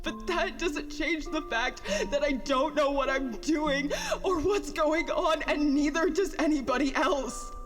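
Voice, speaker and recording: A woman playing a self-loathing young engineer in an audio drama, rambling and halting through tearful, sarcastic despair. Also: high voice. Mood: sad, wistful. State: crying, voice breaking with sobs. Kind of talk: audio drama monologue, recorded log. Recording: long reverb, music underneath.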